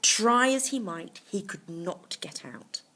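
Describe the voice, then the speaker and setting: A woman reading a bedtime story to children, pausing to explain things simply in little asides. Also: mid-range voice, expressive, pushed and bright. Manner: explains words simply to young listeners.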